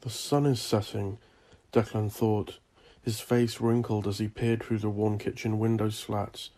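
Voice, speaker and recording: A man reading dark fantasy horror as a scripted story, with a measured, deliberate delivery. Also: fairly low pitch, little pitch movement. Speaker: audiobook narrator.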